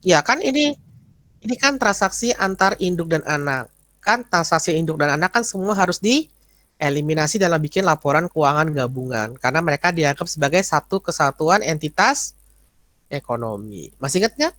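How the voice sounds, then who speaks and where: A man's voice: fairly high pitch, very animated, moderate pace, fairly bright tone, no room sound. A man, lecturing informally and often checking that listeners follow with short tags. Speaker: accounting lecturer. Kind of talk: informal explanatory teaching with question and answer.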